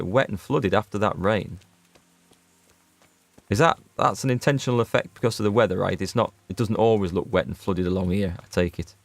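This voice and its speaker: Male streamer, low and gravelly, casual running commentary, chats with his audience. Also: dry recording. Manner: chatty, reads out and answers viewer chat. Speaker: gaming streamer. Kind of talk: casual let's play commentary.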